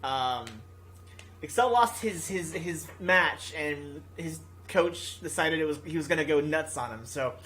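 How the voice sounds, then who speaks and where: Casting: gaming YouTuber type, mid-pitched, casual and goofy, excitable when commenting, reading game text aloud. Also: a little slow. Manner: excitable, goofy, rambling asides. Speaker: gaming video host reading a visual novel aloud.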